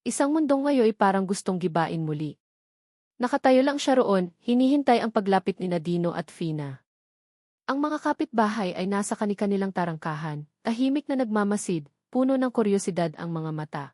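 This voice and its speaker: A woman with a Filipino accent reading scripted romantic drama narration in a measured, emotive way. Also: mid-range voice, lively intonation, a little mellow, no room sound, studio quality. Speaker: audiobook story narrator.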